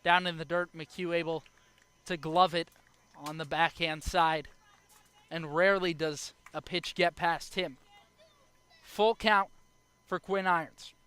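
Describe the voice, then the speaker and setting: A young adult man doing live baseball play-by-play as the announcer, speaking in short clipped phrases with measured pauses. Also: fairly high pitch, steady tone, sharp tone, clear, resonant tone. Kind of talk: live sports play-by-play commentary.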